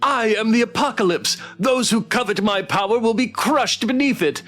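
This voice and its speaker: A man voicing a megalomaniac female overlord from a visual novel, making grandiose, menacing, drawn-out declarations. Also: very high voice, fairly bright tone, soft background music, voice pushed up. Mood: grandiose menace. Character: megalomaniac female would-be overlord bent on conquest. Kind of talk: theatrical visual novel villain read-aloud.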